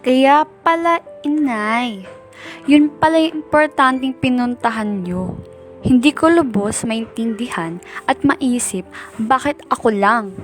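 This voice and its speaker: Resentful Filipino teen daughter, woman performer; high voice, accusing and whiny, amateur radio drama over music. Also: takes it slowly. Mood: bitter, accusing. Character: resentful, sullen teenage eldest daughter. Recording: background soundtrack under the voice.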